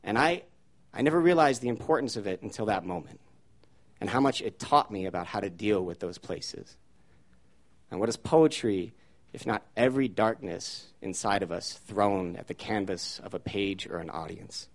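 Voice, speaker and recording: A man telling a personal story live on stage, shifting between loud, charged lines and hushed, poetic ones. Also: lowish voice, lively intonation, moderate pace. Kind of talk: live spoken-word storytelling.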